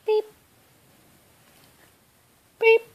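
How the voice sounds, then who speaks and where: A woman reading a children's picture book aloud in a deliberate, simple storytelling manner, with expressive delivery of the characters' lines. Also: muffled recording. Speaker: storybook reader.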